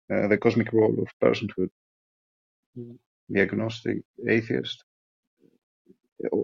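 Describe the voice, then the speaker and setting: A man with a creaky, raspy, lowish voice delivers a pedantic monologue as a voicemail caller. He stumbles and repeats himself as he lectures. The sound is dull and lo-fi. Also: no echo. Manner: halting, repetitive, pedantic. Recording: dry, muffled lo-fi voicemail.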